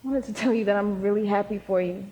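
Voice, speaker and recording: A woman acting as an affectionate teenage girl, a new high school graduate, in a live stage play. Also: quick pace, mellow, lightly acted. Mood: subdued, sincere. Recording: reverberant hall, live stage recording.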